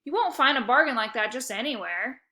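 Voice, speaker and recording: A woman's voice: a little high, animated, fairly quick, bright, forceful tone. A woman voicing a cocky schoolboy hustler who talks in a brash, salesy way.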